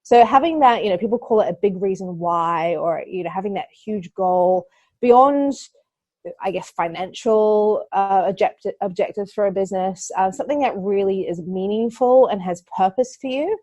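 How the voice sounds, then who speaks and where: A woman's voice: medium pitch, moderate pace, darkish tone, no echo. A woman from New Zealand with a New Zealand accent, a marketing agency owner talking earnestly as a podcast guest and thinking aloud with fillers. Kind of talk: podcast interview.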